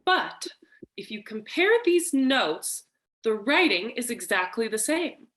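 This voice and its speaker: A woman playing a frightened, flirtatious femme fatale wife in a staged noir parody, with drawn-out melodramatic delivery. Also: fairly high pitch, big pitch swings, a bit bright, heavily acted. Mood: earnest, urgent. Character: frightened, seductive femme fatale wife, breathy damsel in distress.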